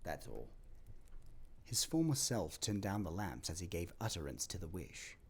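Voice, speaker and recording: A man narrating a classic story expressively and theatrically, with a husky voice. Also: sits low, sing-song, moderate pace.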